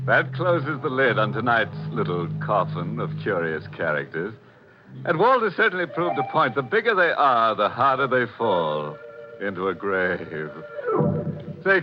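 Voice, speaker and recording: An actor playing a sly, wisecracking horror host with mock-sinister delivery and drawn-out punchlines in 1940s radio style. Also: male voice, fairly low pitch. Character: ghoulish, wisecracking horror host, gleefully morbid. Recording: muffled 1940s radio recording with music.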